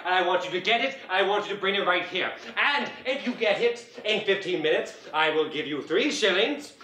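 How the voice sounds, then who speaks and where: A man's voice: sits high, sharp tone, exaggerated voice. A man playing an older male miser in a one-man storytelling performance, theatrical, pleading and exclaiming. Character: older male miser, desperate then giddy with joy. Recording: roomy, distant mic, faint ambience.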